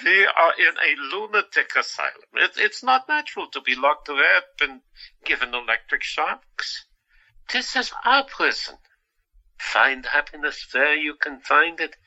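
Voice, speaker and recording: A man acts out a playful asylum patient with a wry, melancholy streak, in an audio drama. His voice is very bright and forceful, speaking slowly with halting, teasing pauses. The recording is slightly dull. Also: fairly high pitch, very animated, gravelly, full character voice.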